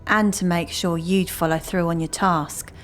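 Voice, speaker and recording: A woman playing a cool-headed, wry rogue of the shadows in an audio drama, dry and measured. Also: lowish voice, steady tone, faint music behind, natural voice. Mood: wry, guarded. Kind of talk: audio drama character acting.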